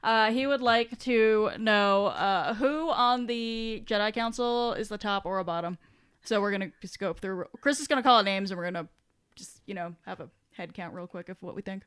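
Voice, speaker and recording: A woman's voice: mid-range voice, moderate pace, a bit bright, smooth. A woman co-hosting a podcast, chatting in a loose, informal, conversational manner. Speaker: podcast co-host. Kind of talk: casual chatty discussion.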